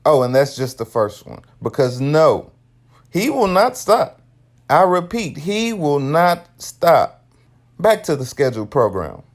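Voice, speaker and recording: A young man cutting in with a deadpan, mock-formal announcer style. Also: fairly high pitch, a little slow.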